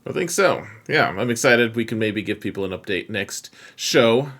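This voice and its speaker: A man's voice: lowish voice, lively intonation, normal speed, a bit bright. A man co-hosting a casual podcast, laid-back and laughing easily. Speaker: co-host. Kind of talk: casual gaming podcast chat.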